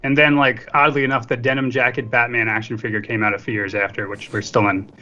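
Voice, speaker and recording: A man's voice: mid-range voice, flat delivery, fast, pushed and bright. A man in a casual film discussion, talking in a joking, self-deprecating way. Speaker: podcast guest co-reviewer. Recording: dull lo-fi audio, faint music.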